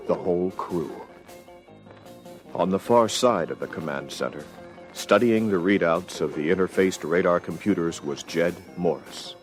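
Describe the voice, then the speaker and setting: A man narrating an audio adventure story from a script in a measured, dramatic storytelling manner. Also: low voice, soft background music.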